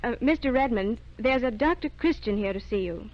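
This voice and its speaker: An actress playing a brisk, businesslike, officious switchboard receptionist in an old-time radio drama. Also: a little high, animated, natural voice. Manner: businesslike, offhand. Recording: dull vintage radio recording.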